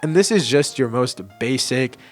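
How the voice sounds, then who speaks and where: Higher-pitched man, casual video reviewer. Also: expressive, normal speed, faint music behind. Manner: informal slang, blunt opinions. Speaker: video host and amateur film reviewer. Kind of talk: casual opinionated ranking commentary.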